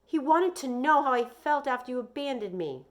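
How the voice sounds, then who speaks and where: A wound-up young boy played by a woman reading a storybook aloud, pitched well up and pushed loud. He blurts out his grievances insistently, one tumbling into the next. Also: lively intonation, moderate pace. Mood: defensive. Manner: shouting, insistent, breathless run-on complaints.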